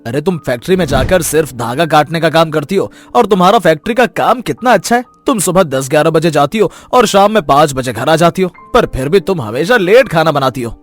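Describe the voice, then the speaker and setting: Guy acting a melodramatic cartoon story man, kinda high voice, emphatic, music underneath. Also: lively intonation, brisk, few pauses, natural voice. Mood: mock angry. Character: husbands and scheming men in a melodramatic moral story. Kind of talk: dramatic cartoon story dialogue.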